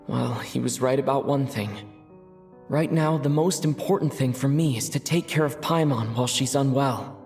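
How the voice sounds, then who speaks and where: An actor playing a young, earnest and caring traveler hero in a video game cutscene. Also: male voice, mid-range voice, natural voice.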